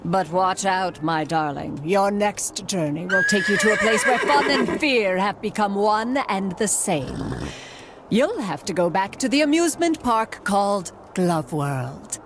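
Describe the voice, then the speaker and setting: A woman's voice: mid-range voice, lively intonation, a little slow, loud music behind the voice. A woman voicing a mysterious, knowing fortune-teller mermaid in a cartoon video game, coaxing and ominous.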